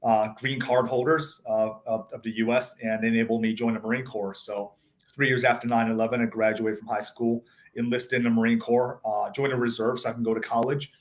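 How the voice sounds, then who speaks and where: Guy in his 30s from taiwan by way of south africa, chatty founder telling his story, lots of ums and restarts, middle pitch. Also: level tone, fairly quick. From born in Taiwan, raised in South Africa. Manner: conversational, many fillers and restarts. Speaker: tech startup CEO, guest. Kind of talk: casual interview talk.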